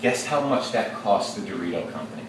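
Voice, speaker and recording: A male teacher leading an interactive read-aloud, questioning his class in a lively, engaging way. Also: fairly low pitch, brisk. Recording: echoey classroom, distant mic, noisy.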